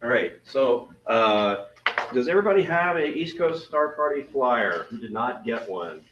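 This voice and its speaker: A deep-voiced man gives club meeting announcements in a rambling, joking, self-deprecating way. He is picked up from across an echoey room. The sound is dull and muffled. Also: big pitch swings, a little slow, noisy background. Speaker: event committee member. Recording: roomy, distant, dull meeting recording.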